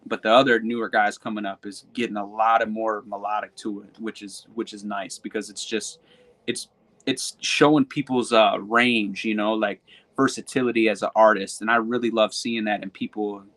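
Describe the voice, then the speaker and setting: A man's voice: lowish voice, level tone, moderate pace, fairly bright tone. A man who raps and sings, talking casually as a guest, rambling with lots of fillers and repeated words. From Michigan. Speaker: guest hip-hop artist. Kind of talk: casual interview chat.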